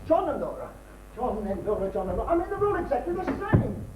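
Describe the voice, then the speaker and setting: Distant and echoey, an actor plays a muddled British husband in a stage comedy. He talks quickly and excitably in a high male voice, tripping over and repeating his words. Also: noisy background. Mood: flustered. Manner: stammering, repetitive, agitated. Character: flustered, forgetful middle-class British husband. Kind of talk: amateur stage comedy acting. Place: theatre stage, recorded from afar.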